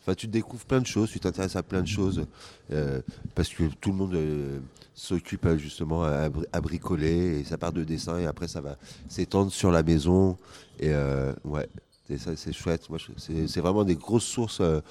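A man's voice: low voice, moderate pace, dark tone. A man living in a squat, answering questions enthusiastically, stumbling over repeated words. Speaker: interviewee, squat resident. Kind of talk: casual interview answer.

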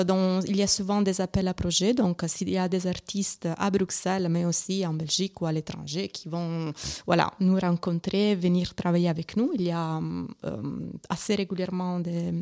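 Italian-accented woman, halting speech. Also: medium pitch, gravelly, a little mellow. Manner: hesitant, many fillers and restarts. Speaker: guest from an arts organisation. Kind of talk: live radio interview.

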